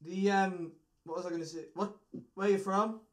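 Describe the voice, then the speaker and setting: A man's voice: high voice, fairly even intonation, moderate pace. A British man in his twenties chatting casually with strangers as a magician. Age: 20s. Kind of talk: casual chat with strangers on video. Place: small room at home.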